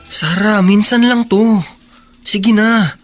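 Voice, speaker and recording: A man's voice: high-pitched, fairly even intonation, takes it slowly, faint music behind. Man voicing a pushy teasing teen friend. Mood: coaxing. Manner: coaxing, teasing. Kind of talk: radio drama acting.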